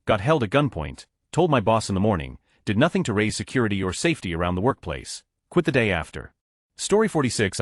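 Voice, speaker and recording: A man narrating online stories aloud in a brisk, matter-of-fact reading. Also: deep voice, dry recording, clean studio sound.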